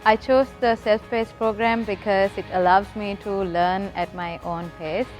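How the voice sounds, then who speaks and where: A young woman from Sri Lanka, a student pilot, speaking in a measured, slightly scripted testimonial. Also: mid-range voice, steady tone, soft background music. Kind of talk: testimonial interview.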